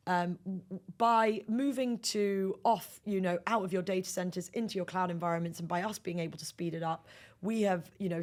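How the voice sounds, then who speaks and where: A woman speaking as a sales executive and podcast guest, explaining business practice emphatically in a conversational interview. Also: lowish voice.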